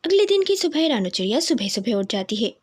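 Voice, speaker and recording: A woman narrating a scripted children's cartoon story in an expressive, dramatic storytelling manner. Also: fairly high pitch, a little fast, breathy edge.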